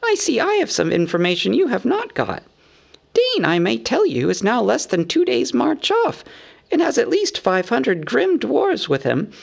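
A man voicing a small, fussy, anxious male hobbit from a storybook, squeaky, polite and rambling in a businesslike way. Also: creaky, exaggerated voice. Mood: earnest, businesslike. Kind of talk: storybook character voice.

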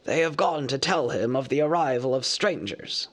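A man reading a storybook aloud, voicing an old male wizard who speaks formally and with measured composure. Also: medium pitch, expressive, lightly acted. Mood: courteous.

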